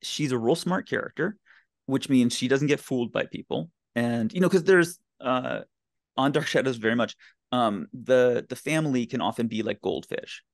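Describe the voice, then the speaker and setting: A man speaking as a podcast guest in casual, enthusiastic discussion, with emphatic and halting phrasing. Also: mid-range voice, no echo, studio quality. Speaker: podcast guest, blogger and writer.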